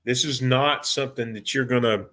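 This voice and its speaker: Halting, folksy male trainer. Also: medium pitch, steady tone, fairly bright tone. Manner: folksy, halting, repeats and rephrases himself. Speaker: technical trainer for repair technicians. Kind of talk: informal training lecture.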